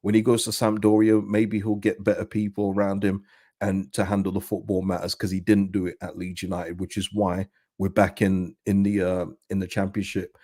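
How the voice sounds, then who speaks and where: A man with a slightly husky voice, hosting a casual football fan commentary. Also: sits low, monotone, normal speed, dark tone, dry recording, studio quality. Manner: chatty, rambling, with frequent restarts and laughs. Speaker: football channel host.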